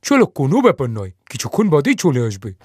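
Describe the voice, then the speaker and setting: A man playing a calm, kindly middle-aged father in an animated story, husky and easygoing. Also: mid-range voice, fast, a little hiss or hum. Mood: reassuring. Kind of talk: Bengali animated moral story acting.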